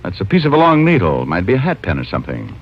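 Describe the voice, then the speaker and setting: A man's voice: sits low, wildly swooping pitch, fast, heavily acted. An American actor playing a wisecracking, self-mocking private detective who narrates his case in mid-century radio style. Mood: matter-of-fact. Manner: wry, glib, self-mocking. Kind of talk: 1940s-50s radio detective acting. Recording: dull old radio recording, music behind.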